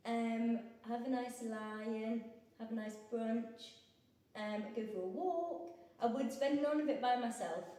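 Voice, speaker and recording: A woman's voice: fairly high pitch, takes it slowly, warm tone. A young woman from England answering interview questions about her partner in a chatty way, with frequent ums. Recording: echoey room, mic far off.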